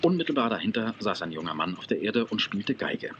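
An actor narrating a children's audio drama in a measured, scripted storytelling way. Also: male voice, lowish voice, sing-song, muffled recording, slight background noise. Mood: measured, descriptive.

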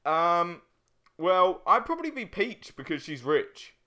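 Lively, bright young man joking over gameplay. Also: sits high, measured pace. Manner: joking, sweary banter. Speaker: let's play co-host. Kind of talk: casual gaming commentary, banter.

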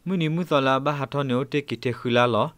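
A man's voice: mid-range voice, fast, fairly bright tone, clean tone, dry recording. A man presenting the news, reading from a script as a radio newsreader.

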